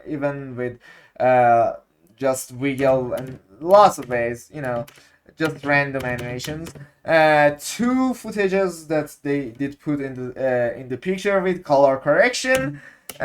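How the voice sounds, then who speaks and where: A man talks slowly through a video editing tutorial, his voice sitting a little high. He stops often, fills gaps with "uh" and hunts for the right English words as he goes. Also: a little hiss or hum. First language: Persian. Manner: halting, many fillers, searching for English words. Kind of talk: unscripted screen-recorded tutorial.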